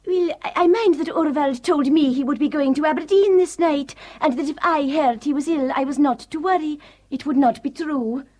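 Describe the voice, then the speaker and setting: A woman's voice: high voice, moderate pace, few pauses, darkish tone, close to an everyday voice. An actress playing a guarded, deferential local woman in a radio drama, with simple dialect turns of phrase. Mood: hesitant, confiding.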